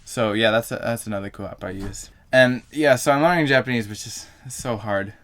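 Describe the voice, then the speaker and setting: A man's voice: medium pitch, brisk, a bit bright. A young man vlogging to camera in a casual, rambling way, full of fillers and self-corrections.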